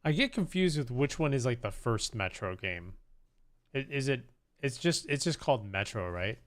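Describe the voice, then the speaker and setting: A man's voice: medium pitch, animated, normal speed. A man hosting a livestream, chatting casually to viewers in a rambling, hesitant way and often repeating himself. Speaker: live streamer host.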